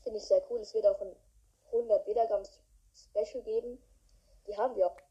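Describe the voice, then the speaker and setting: A woman hosts a podcast in a loose, rambling way, full of fillers. Her voice is very dark and husky, and the recording sounds dull and lo-fi. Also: medium pitch, steady tone, fairly quick. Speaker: podcast host. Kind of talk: casual podcast talk.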